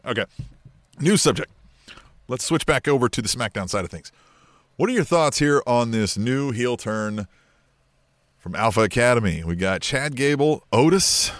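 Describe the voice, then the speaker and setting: Rambling, lively podcast man. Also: mid-range voice, dry recording. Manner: rambling, speculative, lots of fillers. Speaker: podcast co-host. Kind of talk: casual wrestling chat.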